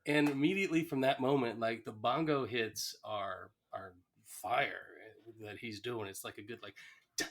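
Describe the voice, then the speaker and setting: A man's voice: mid-range voice, normal speed, fairly bright tone, a little hiss or hum. An American man co-hosting a podcast, chatting casually and with enthusiasm. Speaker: podcast co-host. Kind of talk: casual chat.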